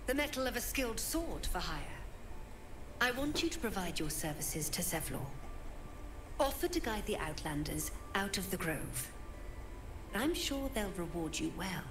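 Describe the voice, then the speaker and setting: A woman's voice: medium pitch, sing-song, normal speed, noisy background, lightly acted. An actress playing a cold, ruthless woman druid leader who speaks in a solemn, commanding way, from a video game. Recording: game audio with music underneath.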